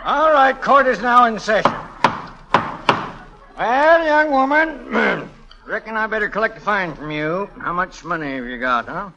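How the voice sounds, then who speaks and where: An actor playing a folksy, greedy small-town judge in a 1940s radio comedy, with a rural American accent and a drawling, chuckling manner. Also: male voice, sits high, lively intonation, measured pace, dull audio, background noise. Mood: bossy, folksy. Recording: vintage 1940s radio broadcast.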